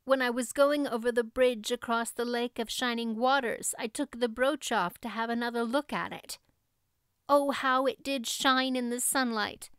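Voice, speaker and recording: A woman's voice: fairly high pitch, steady tone, moderate pace, fairly bright tone, clean tone, no room sound, close to an everyday voice. A woman voicing an imaginative, earnest young girl with a theatrical, pleading manner, reading from a storybook. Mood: solemn, dreamy.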